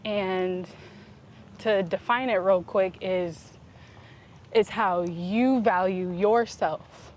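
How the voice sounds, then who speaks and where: A woman talking in a casual, reflective vlog style, earnest and introspective, with deliberate pauses. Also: medium pitch. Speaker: vlog host. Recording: clear steady background noise.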